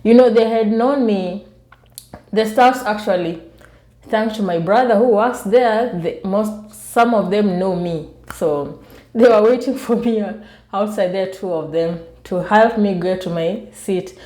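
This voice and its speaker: A soft-spoken woman with a Kenyan accent chats casually to her viewers, telling personal stories in a self-amused way. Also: mid-range voice, measured pace, reverberant. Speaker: YouTube vlogger. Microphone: newly bought external microphone.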